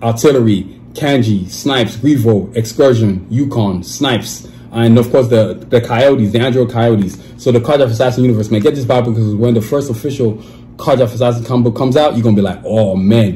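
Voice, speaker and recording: A man's voice: medium pitch, mellow, slight background noise. A man in his thirties hosting his own podcast, talking casually at a desk in a small room, reading sponsor plugs in a slangy, laid-back way. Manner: laid-back slangy talk with lots of "you know what I'm saying". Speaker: podcast host.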